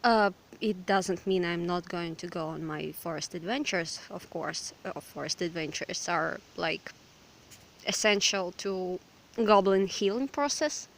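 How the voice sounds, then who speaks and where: A hoarse, slightly sick young woman from Ukraine with a Ukrainian accent, chatting hesitantly and apologetically in a forest. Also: lowish voice, a little slow, a little hiss or hum, poor audio. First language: Russian. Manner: hesitant, apologetic, lots of fillers. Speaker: vlogger. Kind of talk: casual rambling chat. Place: outdoors in a forest.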